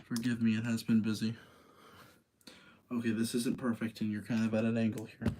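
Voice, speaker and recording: A man's voice: lowish voice, completely flat delivery, brisk, background noise. A man hosting a livestream, chatting casually in an apologetic, rambling way. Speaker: livestream host.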